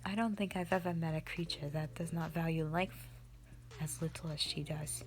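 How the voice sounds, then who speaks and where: A woman playing a strict, commanding mother who leads a warrior band, speaking sternly and deliberately in a roleplay audio drama addressed to the listener. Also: low voice, steady tone, faint music behind, close to an everyday voice. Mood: grave, stern. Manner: stern, deliberate, halting delivery with sighs.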